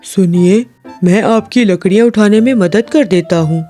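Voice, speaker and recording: An actor playing a poor, earnest woodcutter in a cartoon story, with music behind. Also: male voice, high voice, moderate pace, dark tone, lightly acted. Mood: helpful.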